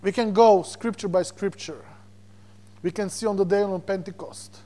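A man from Slovakia with a Slovak accent, preaching a sermon as a guest preacher, animated and repeating words as he searches for them. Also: sits high, moderate pace. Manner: animated, repeats words when searching, chuckles between points.

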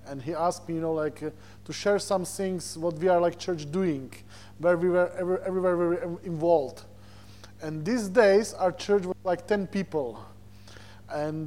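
Male preacher, high-pitched voice, Slovak accent, non-native English, informal sermon storytelling, halting word searches. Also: darkish tone. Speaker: visiting preacher. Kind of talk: informal sermon with personal stories.

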